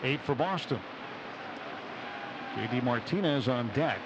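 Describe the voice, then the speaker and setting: A man calling baseball play-by-play on the radio in a measured, descriptive way, pausing between short phrases. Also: medium pitch, lively intonation, noisy background. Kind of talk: live radio sports commentary. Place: outdoor ballpark broadcast booth. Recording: dull radio broadcast, loud stadium ambience. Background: loud outdoor ballpark ambience.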